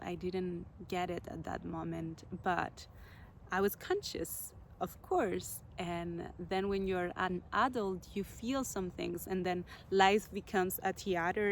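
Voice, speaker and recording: A woman from Chile hosting a podcast, telling her personal story slowly and reflectively, pausing to search for words. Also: lowish voice, a little hiss or hum. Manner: slow, reflective, searching for words with soft pauses. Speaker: podcast host.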